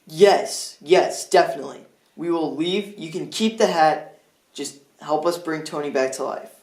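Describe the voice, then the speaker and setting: Actor as high-voiced earnest man, echoey. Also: measured pace. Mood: earnest pleading. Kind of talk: comedy skit acting. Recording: roomy, echoing indoor sound.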